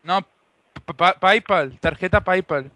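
A man playing an insistent, boastful foreign gamer on a prank call, halting and repetitive, with a put-on Moroccan accent. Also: sits high, sharp tone, no room sound. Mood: confused, insistent.

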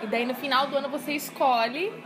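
Woman, twenties, Brazilian Portuguese accent, interviewer, finishes the guest's sentences, loud crowd chatter behind. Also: high-pitched, a little fast. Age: 20s. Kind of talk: casual interview chat. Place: busy indoor café. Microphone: fixed camera, seated two-shot.

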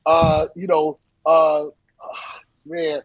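A man's voice: a little high, extremely slow, dry recording, highs cut off. A man in his forties, a DJ guest on a casual podcast, talking over a video call from a small room at home, swearing freely and repeating himself as he tells stories. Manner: animated, hand-waving storyteller, swears freely and repeats himself. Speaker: DJ and interview guest.

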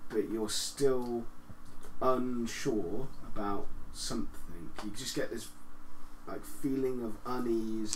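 A man running a tabletop role-playing game as game master, narrating scenes to the players. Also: mid-range voice. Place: living room, group around a coffee table. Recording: echoey room with faint background noise.

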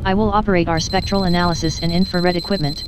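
An actress playing a pedantic, blunt, unflappable scientist investigator in an audio drama, precise and deadpan. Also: female voice, on the low side, quick pace, over music, close to an everyday voice, poor audio. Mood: businesslike.

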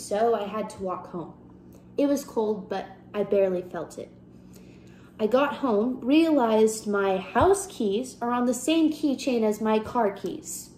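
Actress as a wry, self-deprecating woman in contemporary drama, fairly high voice, roomy sound. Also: mellow. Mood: guarded, flat. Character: bitter, self-mocking woman confessing a drunken humiliation. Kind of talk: contemporary stage monologue. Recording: roomy echo with faint background noise.

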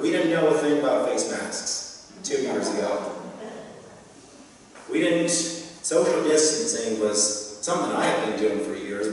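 A man's voice: medium pitch, a little hiss or hum. A young man preaching a sermon from a pulpit, earnest and encouraging, with light humorous asides. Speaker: pastor. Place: church sanctuary, speaking from a pulpit. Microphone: pulpit microphone. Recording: echoey sanctuary, heard from a distance.

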